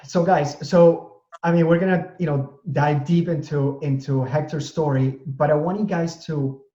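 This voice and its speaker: Rambling host in an echoey room. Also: male voice, a little high, steady tone, moderate pace, dark tone. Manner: rambling, many restarts and fillers. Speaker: interview host, real estate broker. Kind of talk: casual conversational interview. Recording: echoey room, dull audio.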